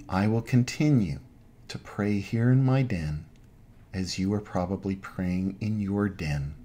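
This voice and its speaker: A middle-aged man, an Anglican priest, giving an informal online sermon from his home den in measured, halting phrases. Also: deep voice, darkish tone, slight background noise. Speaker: Anglican priest, parish pastor. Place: small home den with bookshelves.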